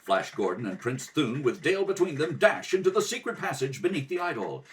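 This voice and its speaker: Animated man narrating an adventure serial. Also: on the high side, brisk, recorded from a distance, a little hiss or hum. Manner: theatrical. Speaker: narrator, rehearsal reader. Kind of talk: dramatic old-time radio serial narration. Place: kitchen table at home. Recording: home recording, slightly off the mic.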